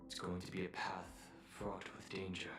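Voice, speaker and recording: An actor playing a serious, reserved young male guardian in a video game, speaking in a measured, earnest way. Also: low-pitched, completely flat delivery, reverberant, over music, lightly acted. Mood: solemn.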